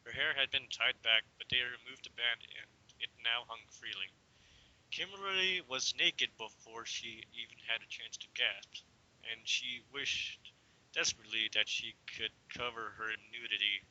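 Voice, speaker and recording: A man's voice: medium pitch, moderate pace, pushed and bright. A young man giving an amateur dramatic reading of a story, stumbling now and then and slipping into casual asides. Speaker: reader. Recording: dull lo-fi home recording.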